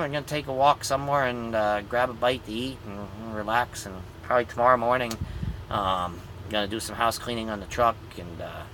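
Tired trucker grandpa, bright voice. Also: male voice, on the low side, slight background noise, poor audio. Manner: rambling and easygoing, with many ums. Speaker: truck driver vlogger. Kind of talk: casual vlog update to viewers. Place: inside a truck sleeper cab. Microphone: phone camera at arm's length.

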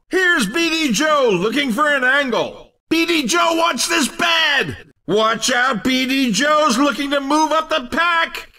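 A man playing an excitable, teasing, hammy race commentator in a video game. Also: extremely high pitch, distant mic, exaggerated voice. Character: excitable, cheeky male racing game announcer.

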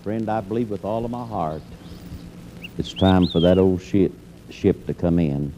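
A man playing an earnest, folksy, preacher-like pitchman in a radio comedy, exhorting with conviction. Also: a little slow, dark tone, highs cut off, slight background noise. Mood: fervent.

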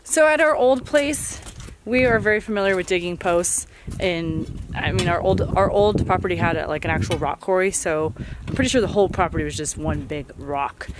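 A woman's voice: lowish voice, normal speed. Woman in her 30s, easygoing explainer voice, recorded outside on a camera mic with light noise. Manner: chatty and explanatory. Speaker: homesteading vlogger. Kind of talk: casual on-camera narration. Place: outdoors at a snowy forest homestead.

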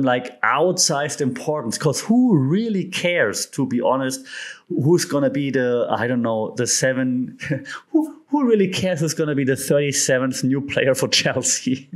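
A man in his thirties with a German accent, hosting a podcast from his living room in a chatty, animated way with joking asides. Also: mid-range voice, measured pace. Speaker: podcast host. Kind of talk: casual chat. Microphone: studio mic on stand in front.

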